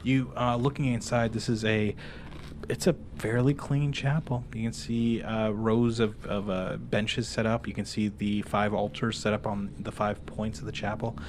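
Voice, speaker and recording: A man's voice: on the low side, level tone, moderate pace. Male game master over background noise. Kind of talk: narrating a tabletop role-playing game.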